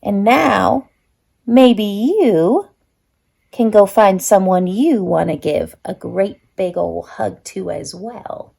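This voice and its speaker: A woman's voice: mid-range voice, slow pace, creaky, dark tone, breathy edge. A woman reading a picture book aloud expressively for young children, as a story-time reader.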